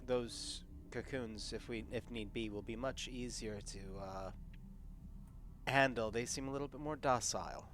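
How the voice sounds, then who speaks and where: A man playing a tabletop roleplaying game, chatting casually and haltingly, repeating words as he thinks. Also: medium pitch, a bit bright, a little hiss or hum. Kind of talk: casual in-character game chat.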